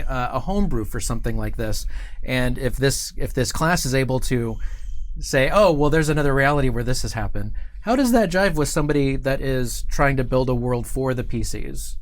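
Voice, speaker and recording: A man hosts an interview in a small studio. He asks loose, casual questions, padded with ums and uhs. His voice is middle-pitched, and a lapel mic picks it up. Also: animated, moderate pace. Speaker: show host. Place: small studio with curtain backdrop.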